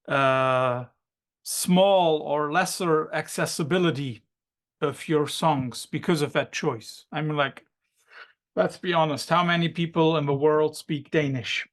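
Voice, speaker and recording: A man's voice: fairly high pitch, measured pace, fairly bright tone. Man asking direct interview questions.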